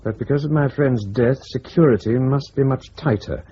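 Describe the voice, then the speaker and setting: Actor as a mellow, brisk intelligence officer. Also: male voice, mid-range voice, slightly rough, lightly acted. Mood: reading aloud. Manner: brisk, wry. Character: brisk, dry, exasperated male intelligence officer. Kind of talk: broadcast radio drama acting. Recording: muffled radio recording, faint hiss.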